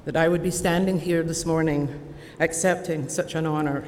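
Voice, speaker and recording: A woman in her sixties with an Edinburgh Scottish accent, giving a formal, measured convocation speech from a podium. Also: sits low, steady tone. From Scotland. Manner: measured, deliberate, warmly earnest. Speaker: honorary degree recipient giving convocation address. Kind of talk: formal prepared ceremonial speech. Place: large convocation hall. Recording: long hall reverb, faint background noise.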